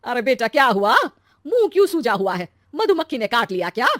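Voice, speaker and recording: A woman's voice: fairly high pitch, sing-song, a little fast, no room sound, voice pushed up. Older mother-in-law, shrewd and in charge, female storyteller performing; emphatic, caring, dry sound, family story. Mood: concerned, teasing. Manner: emphatic, commanding. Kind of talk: acted story dialogue.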